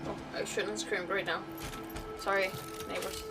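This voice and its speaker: A woman streaming a game, giving casual, expressive live reactions full of exclamations. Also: mid-range voice, a little slow, gravelly, far from the mic, over music.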